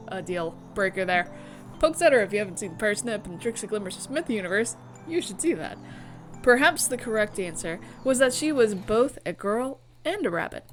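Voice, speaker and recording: A woman streamer reading a visual novel aloud with theatrical, expressive narration and casual asides. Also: medium pitch. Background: music.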